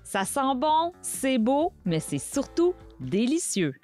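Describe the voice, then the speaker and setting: A woman's voice: high-pitched, very animated, slow, mellow. A woman giving an upbeat scripted voice-over for a TV show. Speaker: voice-over narrator. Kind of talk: scripted narration. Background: music.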